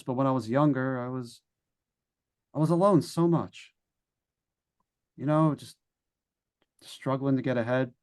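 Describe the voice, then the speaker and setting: A man's voice: medium pitch, a little mellow, close to an everyday voice. A man playing a nervous, lonely radio broadcaster unravelling in a haunted house, stammering and rambling in 1940s radio suspense style. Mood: melancholy. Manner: stammering, halting, rambling with repeated words. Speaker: actor in old-time radio play. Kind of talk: 1940s radio suspense acting, live monologue.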